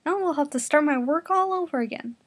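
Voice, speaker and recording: A woman's voice: high-pitched, animated, fairly quick, no room sound, pitched above the performer's own voice. A woman voicing an indignant, prim villainess who scolds, in a comic story reading.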